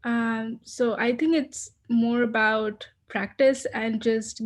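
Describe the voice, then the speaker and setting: A woman with a non-American accent talks slowly and hesitantly, filling gaps with many small pauses. Her voice sits a bit high for a woman. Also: steady tone. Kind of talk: casual group discussion.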